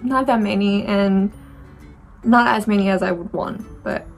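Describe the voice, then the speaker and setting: A young Romanian-accented woman talks to camera in a relaxed, meandering way as she does her makeup. Her voice has a noticeable gravelly fry. Faint music plays softly underneath. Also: mid-range voice, a little slow. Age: 20s. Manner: reflective, rambling, many fillers and restarts. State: dry throat. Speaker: beauty vlogger. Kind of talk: casual chat while doing makeup.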